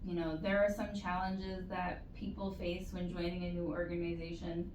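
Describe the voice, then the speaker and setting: A woman giving measured, explanatory advice as an interview guest, in a conversational way with stretched emphasis. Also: fairly low pitch, flat delivery, darkish tone, muffled recording. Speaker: interview guest, university postdoctoral support staff. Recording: roomy echo, distant mic, faint noise.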